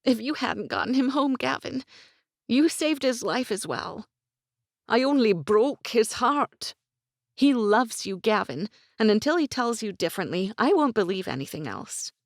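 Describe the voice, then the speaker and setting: Reader doing steadfast young wife, earnest, pleading, dry close storybook sound. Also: female voice, a little high, very animated, measured pace, no room sound, pitched above the performer's own voice.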